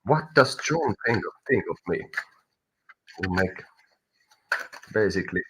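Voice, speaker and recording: A man with a Finnish accent doing a live tarot reading, halting and searching for words as he goes. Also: sits low, expressive, noisy background. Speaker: tarot reader.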